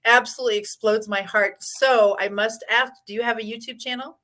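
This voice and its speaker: A woman's voice: on the high side, fairly even intonation, brisk, sharp tone. A woman hosting an interview show, effusive and gushing with praise for her guest. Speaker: podcast host.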